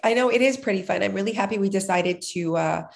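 A woman's voice: mid-range voice, quick pace, creaky. A woman in her thirties co-hosting a podcast, chatty and laughing often, a little nasal and sick. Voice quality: slightly nasal. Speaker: podcast co-host. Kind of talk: casual podcast chat.

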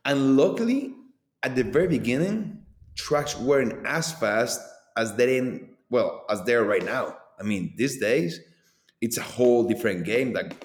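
A man's voice: medium pitch, measured pace. A man in his thirties from Colombia, speaking English with a Colombian accent as a second language, chatting animatedly as a former pro racer in an interview. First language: Spanish. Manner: animated, laughs often, loose filler-heavy delivery. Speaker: former pro downhill mountain bike racer, guest. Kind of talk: casual conversational interview. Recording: roomy echo.

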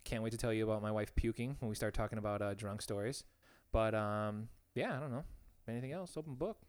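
A man's voice: fairly low pitch, fast, clear, resonant tone. A man in his thirties from Illinois, co-hosting a casual podcast in a laid-back, joking way, with a slightly nasal tone. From Illinois, USA. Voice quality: put-on nasal tone. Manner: laid-back, joking, laughs often, self-mocking. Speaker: podcast co-host. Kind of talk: casual chat.

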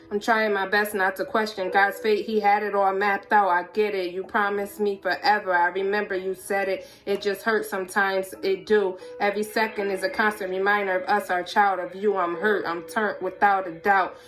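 A woman delivers spoken word poetry in a mid-pitched voice. Her intonation stays very flat and even as she recites, line after line. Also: moderate pace, hardly any pauses, faint music behind.

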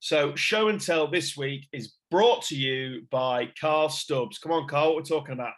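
A man's voice: fairly high pitch, moderate pace, a bit bright. A man co-hosting a podcast, bantering in a jokey, enthusiastic way. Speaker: podcast co-host. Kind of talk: casual banter.

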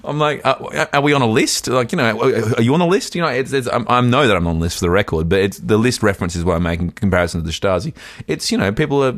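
A man's voice: medium pitch, expressive, fast, few pauses, no room sound, studio quality. A man co-hosting a casual comedy podcast, telling a rambling, self-deprecating story with lots of restarts. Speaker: podcast co-host.

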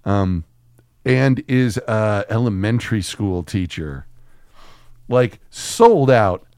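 A man's voice: fairly low pitch, expressive, takes it slowly, a little mellow, smooth, dry recording, studio quality. A man chatting casually as a podcast co-host, rambling through stories with plenty of swearing. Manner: crude, swearing, rambling storytelling. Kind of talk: casual chat about a film.

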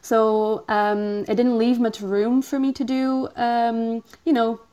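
Woman, 20s, from Portugal, native Portuguese speaker, slightly high voice, hesitant, lots of "um", laughs easily, podcast guest. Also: little pitch movement, slow pace, mellow, clear, resonant tone, lo-fi sound. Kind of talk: casual interview chat.

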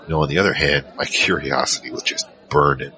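Very deep-voiced hesitant storyteller guy, played by a man. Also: fairly even intonation, quick pace, crowd noise. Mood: reflective, conversational. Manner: conversational, hesitant, searching for words. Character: tall, curious, hesitant awakened man recounting his adventure. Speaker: narrator and lead character. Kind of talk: in-character first-person scripted narration.

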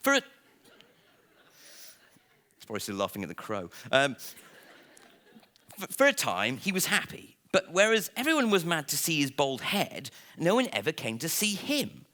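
A man reading a comic children's story aloud to listeners in a theatrical, playful way, with dramatic pauses. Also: on the high side, huge pitch swings, sharp tone. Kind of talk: expressive live storybook reading.

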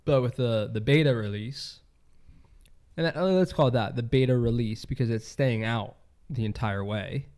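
A man's voice: mid-range voice, moderate pace, darkish tone. A man co-hosting a podcast, nasal from a cold, rambling casually with many fillers. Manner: rambling, lots of "like". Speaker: podcast co-host, esports player. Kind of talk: casual chat.

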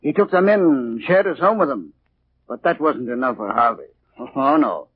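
Weary, brooding old mariner spinning a tale in a 1940s radio play, husky. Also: male voice, medium pitch, expressive. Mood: brooding, bitter. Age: older. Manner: unhurried, brooding storyteller. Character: ancient, weary sea captain telling a tale. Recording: muffled 1940s radio recording.

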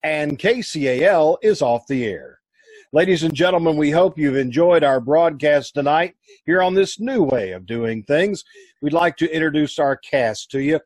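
A man's voice: fairly high pitch, animated, moderate pace, a little mellow, no echo. A man acting as announcer and host, delivering sign-offs and credits in old-time radio style.

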